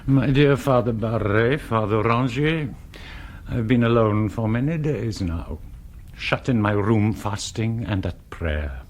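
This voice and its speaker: An actor playing a weary, ascetic old bishop in a radio drama, husky and portentous, full of disgust at mankind. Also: male voice, fairly low pitch, animated, slight background noise. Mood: weary, solemn. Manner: slow, portentous, rhetorical.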